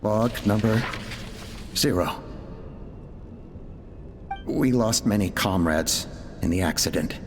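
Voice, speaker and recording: An actor playing a solemn, ruthless male researcher dictating experiment logs in a video game, grave and deliberate. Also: on the low side, faint music behind. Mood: grieving, resolute. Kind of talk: dramatic log narration in a video game. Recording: long reverberant hall, faint noise.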